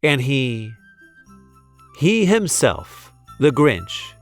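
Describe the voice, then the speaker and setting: A man reading a rhyming children's storybook aloud in a theatrical, deliberate way. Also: mid-range voice, big pitch swings, faint music behind. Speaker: storybook reader. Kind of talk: expressive children's read-aloud of rhyming verse.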